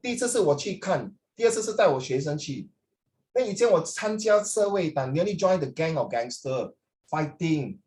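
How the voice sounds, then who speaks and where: Chatty Singaporean male teacher, slightly high-pitched. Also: animated, moderate pace, a little mellow. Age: middle-aged. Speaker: language teacher. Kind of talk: informal classroom talk with students.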